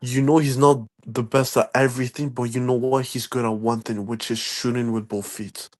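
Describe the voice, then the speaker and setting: A man's voice: medium pitch, little pitch movement, moderate pace. A man co-hosting a casual football chat, firm and opinionated.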